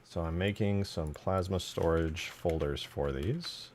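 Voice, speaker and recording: A man's voice: low-pitched, measured pace, darkish tone, slight background noise. A man giving casual, informal live commentary as he works on game mods, muttering his thoughts aloud with the odd swear. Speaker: game modder, streamer.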